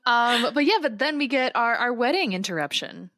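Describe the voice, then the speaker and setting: A woman's voice: fairly high pitch, expressive, normal speed, a bit bright, dry recording, clean studio sound. A woman co-hosting a podcast in casual comedic conversation, with a wry, giggly, colloquial manner. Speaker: podcast co-host.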